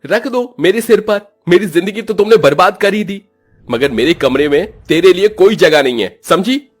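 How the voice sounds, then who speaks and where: A man acts a young husband in a cartoon drama. His voice is notably high for a man and melodramatically emphatic, with faint music underneath. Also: animated, moderate pace. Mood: bitter. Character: curt, resentful young husband in a cartoon story.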